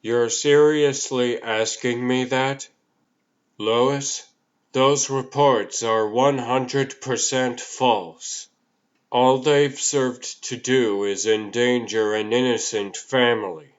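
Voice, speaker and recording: A man playing an earnest, exasperated male superhero in a comic-book dub, speaking in a measured, serious, weary way. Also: medium pitch, steady tone, muffled recording, lightly acted. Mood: weary exasperation.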